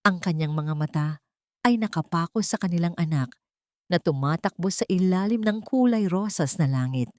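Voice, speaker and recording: Low-pitched woman narrating dramatic stories, dry sound. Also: animated, moderate pace, darkish tone, dry recording. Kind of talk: dramatic scripted story narration.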